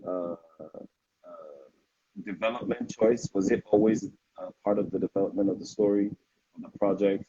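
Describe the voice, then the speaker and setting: Low, dark-voiced Nigerian man. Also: breathy edge. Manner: hesitant, many fillers and restarts, laughs often. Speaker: interviewer and live stream host. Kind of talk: casual live interview. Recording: dull lo-fi livestream audio.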